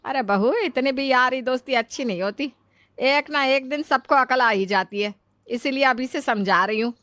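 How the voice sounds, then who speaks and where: Woman acting chiding mother-in-law, higher-pitched. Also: moderate pace, fairly bright tone, no echo, studio quality. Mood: scolding. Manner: chiding, lecturing. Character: scolding, worldly-wise older mother-in-law. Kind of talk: acted Hindi moral-story cartoon dialogue.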